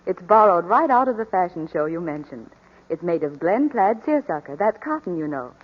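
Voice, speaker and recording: A woman's voice: fairly low pitch, sing-song, normal speed. A woman speaking as a fashion reporter in scripted 1940s radio advertising chat. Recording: muffled 1940s radio broadcast.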